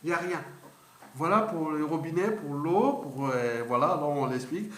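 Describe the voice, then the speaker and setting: A man's voice: a little high, moderate pace. A man, giving an unscripted political monologue that rambles, repeats itself and presses its points hard. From Republic of the Congo. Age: 50s. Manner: rambling, repetitive, emphatic with restarts. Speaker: political commentator. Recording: roomy echoey room.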